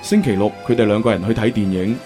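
A man with a Cantonese accent, hosting and narrating a radio drama in an expressive, conversational way. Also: on the low side, fast. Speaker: radio host and storyteller. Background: music.

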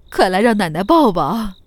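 Period-drama grandmother, female actor: older, fond, firm, motherly scolding, highly animated intonation. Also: breathy edge, full character voice. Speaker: voice actor in an amateur audio drama. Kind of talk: Chinese period audio drama acting.

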